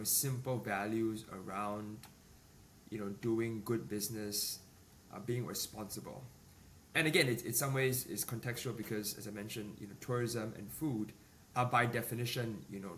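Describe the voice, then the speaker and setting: A man in his forties from Singapore, a business executive answering podcast interview questions in a measured way with many fillers and restarts. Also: lowish voice, a bit bright. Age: 40s. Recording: distant mic with faint ambient noise.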